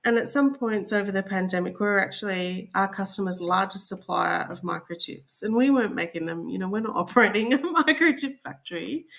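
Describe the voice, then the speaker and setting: A woman's voice: fairly low pitch, creaky, a bit bright. A woman with an Australian accent, explaining things conversationally as a guest in a podcast interview.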